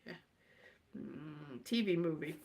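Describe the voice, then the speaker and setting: A woman's voice: low voice, slow pace, creaky, a little mellow. A middle-aged woman from Wales rambling casually in a scatty, digressive way, thinking aloud and muttering asides to herself. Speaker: writer and performer, host.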